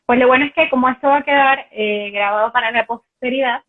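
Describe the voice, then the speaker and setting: Breathy woman hosting a video chat. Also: fairly high pitch, fast, narrow, tinny audio. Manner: relaxed, hesitant, lots of fillers. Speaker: host. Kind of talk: casual live video chat. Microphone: video call.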